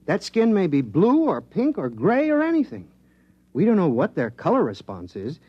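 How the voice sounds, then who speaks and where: An actor playing an eager, absent-minded government scientist in a 1950s radio drama, explaining things in an animated way. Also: male voice, sits high, normal speed, husky, no echo, highs cut off, exaggerated voice.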